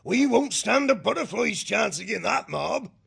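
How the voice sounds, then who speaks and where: A man's voice: high voice, big pitch swings, normal speed, creaky, fairly bright tone, breathy edge, pitched above the performer's own voice, heavily acted. A man voicing an anxious, polite male river otter with a fretful, apologetic manner. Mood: worried.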